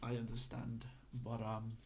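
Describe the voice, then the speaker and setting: A man's voice: mid-range voice, monotone, a little slow, creaky, a little mellow, a little hiss or hum. A man from Belgium, giving a casual, hesitant lesson full of fillers and restarts. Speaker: instructor.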